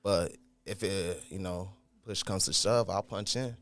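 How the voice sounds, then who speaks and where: Young man from Haiti, rapper guest, low-pitched, laid-back, studio. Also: unhurried, a bit bright. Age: young adult. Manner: laid-back, brief answers, slangy. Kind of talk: casual interview. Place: podcast studio.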